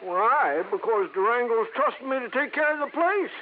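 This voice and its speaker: On a noisy, tinny vintage radio recording, an old rural Southwestern codger. The voice is strikingly high, folksy and excitable, stumbling and repeating itself with suspicious, loyal fervor. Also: male voice, moderate pace. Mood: stubborn, loyal. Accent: rural American Southwestern. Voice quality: high-pitched character voice. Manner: repeats questions, drawling rustic stammer. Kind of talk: 1950s radio drama character acting.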